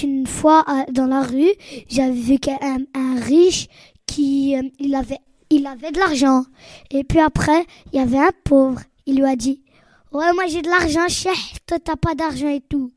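Very high young voice, close and dry. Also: female voice, a little mellow, no room sound. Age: child or teen. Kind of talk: informal storytelling.

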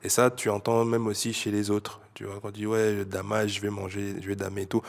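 Belgian man, low flat delivery, halting casual explainer who switches languages. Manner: hesitant, many fillers, switches between French and Dutch. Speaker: interviewee.

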